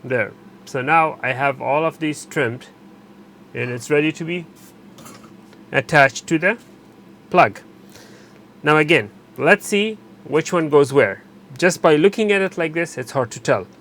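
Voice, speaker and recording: A man narrating a hands-on repair tutorial while he works, pausing and correcting himself as he goes. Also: a little high, a bit bright, slight background noise. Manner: unscripted, pauses and self-corrects while working. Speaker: electronics repair instructor.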